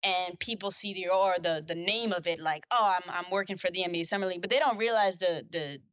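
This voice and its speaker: A woman's voice: fairly low pitch, fast talker, fairly bright tone, no echo. A young adult woman speaking as a podcast guest in casual conversation, chatty, with frequent fillers and restarts. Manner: persistent-sounding, chatty, many restarts and fillers. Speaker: podcast guest, basketball staff.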